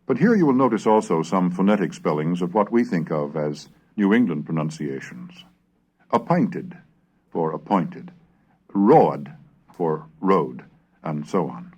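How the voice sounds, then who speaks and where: Formal man narrating, widely varied pitch. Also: expressive, a little slow, a little mellow, husky. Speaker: reader and narrator of a recorded history lesson. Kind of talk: scripted narration with archaic historical readings.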